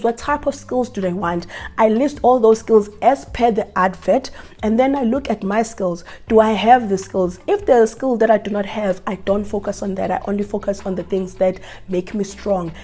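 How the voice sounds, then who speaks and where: A young South African woman with a South African accent, talking casually to camera and giving job-application advice while hoarse from flu. She talks earnestly, repeating and restarting her phrases. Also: medium pitch, moderate pace, warm tone.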